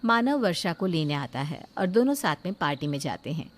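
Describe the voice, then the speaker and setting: A woman's voice: low-pitched, very animated, fairly quick. A woman narrating a scripted moral family story as a storyteller.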